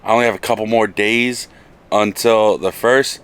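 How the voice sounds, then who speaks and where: A man's voice: on the low side, fairly even intonation, normal speed, a bit bright, clear, resonant tone. A man with a nasal voice from a cold, chatting casually about working on his car in a home garage. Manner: casual, mutters while working, swears. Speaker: car project vlogger.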